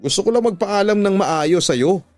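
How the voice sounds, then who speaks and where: A man's voice: high voice, expressive, moderate pace, dry recording, soft background music, pitched above the performer's own voice. A man acting a remorseful, torn unfaithful partner. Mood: sad, pleading. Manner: apologetic, sorrowful. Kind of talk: Filipino radio drama acting.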